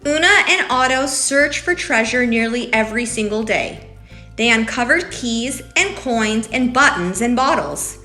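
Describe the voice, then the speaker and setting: A woman hosting story time for young children, talking and reading aloud in an animated way, addressing them as friends. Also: fairly high pitch, measured pace. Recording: roomy echo, faint music.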